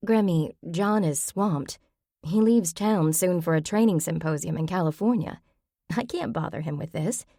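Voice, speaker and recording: A woman's voice: fairly low pitch, normal speed, mellow, no echo, clean studio sound. A woman voicing a practical, puzzled adult woman who asks reasonable questions in an audiobook.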